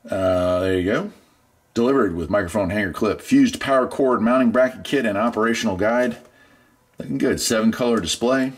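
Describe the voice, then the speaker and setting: A man's voice: fairly low pitch, moderate pace. A middle-aged man chatting casually through a product unboxing in a laid-back, folksy way. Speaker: product reviewer, channel host.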